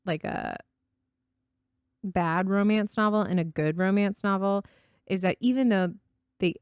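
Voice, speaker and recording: A woman with a mid-pitched voice chats casually as a podcast co-host about books. She speaks with effusive enthusiasm. The sound is dry and close, with hardly any room in it. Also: unhurried, darkish tone, clean tone, no echo. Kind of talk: casual book discussion.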